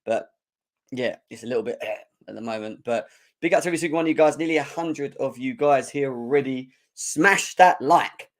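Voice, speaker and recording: Guy, young adult, croaky hoarse voice, live-stream fan show host, energetic chat, keeps clearing his throat. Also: mid-range voice, normal speed.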